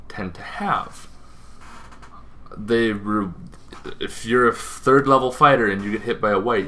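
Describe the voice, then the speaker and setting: A man's voice: on the low side, bright, forceful tone, slight background noise. A man hosting an informal explainer, talking in a rambling way with asides and self-corrections. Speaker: host of a tabletop gaming show.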